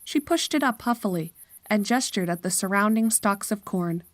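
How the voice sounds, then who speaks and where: A woman's voice: mid-range voice, expressive, normal speed, no echo. A woman narrator reading a scripted horror story in a storytelling style.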